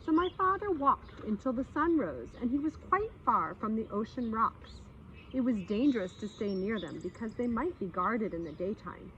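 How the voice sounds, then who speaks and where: A woman reading a story aloud expressively for children, pausing to explain and speak to her young listeners. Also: on the high side, very animated, fairly bright tone. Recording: slightly dull sound with faint background noise.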